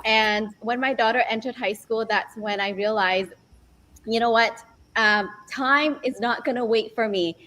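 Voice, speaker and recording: A woman's voice: on the high side, measured pace, pushed and bright, poor audio. A woman in her forties, a business coach and guest, telling her story in an upbeat, chatty way over a video call.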